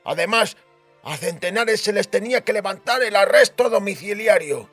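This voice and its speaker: Man playing a high-voiced old duke, reminiscing. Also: expressive, normal speed, a bit bright, soft background music. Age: older. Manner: reminiscing, storytelling. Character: frail old duke fondly recalling his royal grandfather. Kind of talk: dramatised audiobook character.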